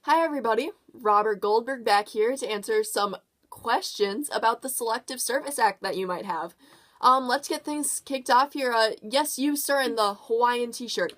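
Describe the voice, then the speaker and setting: A woman's voice: fairly high pitch, normal speed, a bit bright, lightly acted. A woman playing a polite male official answering questions in a puppet show. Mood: friendly, apologetic.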